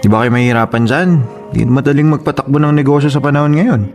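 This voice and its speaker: Casting: man as naysaying Filipino husband, radio drama, medium-pitched, condescending and offhand, light music underneath. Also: fast, a little mellow, natural voice. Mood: skeptical, dismissive. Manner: doubting, offhand.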